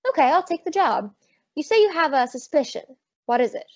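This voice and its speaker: A woman's voice: high voice, lively intonation, brisk. A young woman playing a spirited female private detective, breezy and wry, in old-time radio detective style. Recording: dull lo-fi audio, very dry.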